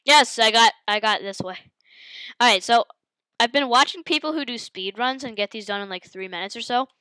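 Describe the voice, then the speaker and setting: A woman with a head cold hosting her gaming channel, giving excitable live commentary and yelping at the game as she plays. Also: a little high, a little fast, no room sound. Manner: excitable, yelps and exclaims at the game.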